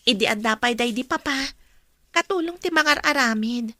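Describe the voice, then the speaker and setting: A woman's voice: on the high side, moderate pace, pushed and bright, a little hiss or hum. An actress with an Ilocano accent playing a sweet, dutiful but wary young woman in a radio drama. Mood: gentle. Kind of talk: Philippine radio drama acting.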